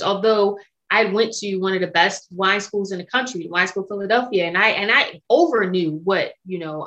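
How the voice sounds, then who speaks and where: A woman's voice: medium pitch, fairly bright tone. A woman in her thirties with an American accent, talking candidly and emphatically in an interview and cussing freely. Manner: frank, emphatic, cusses freely. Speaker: guest, sommelier and entrepreneur.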